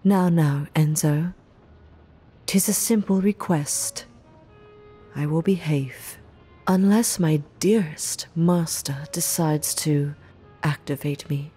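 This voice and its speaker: A woman's voice: low voice, takes it slowly, warm tone, breathy edge, faint music behind, full character voice. A woman playing a playfully menacing, eccentric mob underling in an audio roleplay, speaking coyly in theatrical, old-fashioned phrases. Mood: silky, menacing. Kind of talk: ASMR audio roleplay acting.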